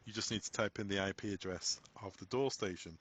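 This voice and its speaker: Man narrating a step-by-step software tutorial. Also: on the low side, a little fast, slightly rough. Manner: instructional, step-by-step phrasing. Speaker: tutorial presenter. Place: voice-over on a screen recording.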